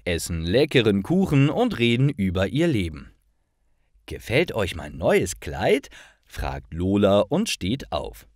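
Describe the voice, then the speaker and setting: A man reading a scripted story for language learners in a deliberate, expressive storytelling manner. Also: on the low side, no echo, studio quality. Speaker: narrator of stories for German learners. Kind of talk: scripted narration, slow read-aloud story for learners.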